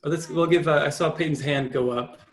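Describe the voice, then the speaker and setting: A man's voice: a little high, fairly even intonation, a little fast, over a noisy crowd. A man hosting an informal online discussion as a film school chair, with an encouraging manner on a video call.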